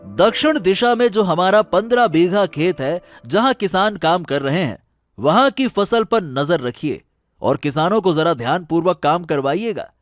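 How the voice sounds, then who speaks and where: A man's voice: high voice, lively intonation, moderate pace, soft background music. A man playing a kind, trusting younger brother who speaks earnestly and respectfully in a cartoon story. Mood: obliging. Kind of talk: Hindi cartoon moral story acting.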